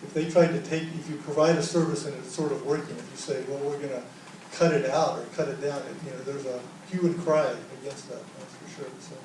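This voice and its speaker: Man, workshop presenter, halting speech, echoey conference room, distant mic. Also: mid-range voice, steady tone, background noise. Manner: halting, restarts often. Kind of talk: leading a group discussion.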